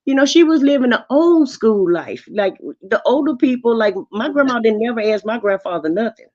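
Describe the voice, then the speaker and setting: A woman's voice: fairly high pitch, animated, normal speed, a little mellow. An American woman chatting casually and giving opinions in colloquial, emphatic speech, often repeating her phrases. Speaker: panelist on a live stream. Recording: dull lo-fi stream audio.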